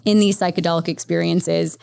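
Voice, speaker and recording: A woman therapist speaking as a podcast guest, explaining at length in a lively, conversational way with many fillers. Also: on the low side, fairly quick, vocal fry, smooth. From Las Vegas, Nevada, USA. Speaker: guest, psychedelic integration therapist. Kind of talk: conversational interview.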